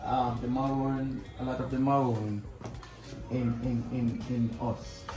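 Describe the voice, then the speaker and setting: A man's voice: mid-range voice, fairly even intonation, a little slow. A Jamaican man, a pastor, chatting casually, with many ums and "you understand?" tag questions. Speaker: pastor, host. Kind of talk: casual conversation. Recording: echoey, off-mic with music behind.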